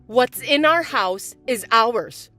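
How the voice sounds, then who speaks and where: A woman voicing a bratty, spiteful young stepsister who talks in a shrill, mocking, bossy way. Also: animated, takes it slowly, sharp tone, faint music behind, heavily acted. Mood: gloating. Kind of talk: voiced text-message drama.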